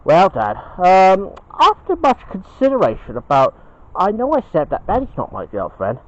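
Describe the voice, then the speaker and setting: A man voicing a young male bear son in a homemade cartoon, speaking in a halting, earnest way with repeated words. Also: sits high, huge pitch swings, no room sound, lo-fi sound, voice pushed up. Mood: hesitant, earnest. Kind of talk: homemade cartoon puppet-style dialogue.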